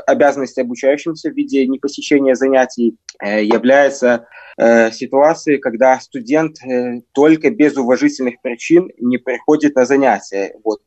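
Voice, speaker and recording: A man's voice: medium pitch, a little mellow, no echo, dull audio. A man talking as a legal expert, explaining things methodically in formal legal terms. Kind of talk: explanatory commentary.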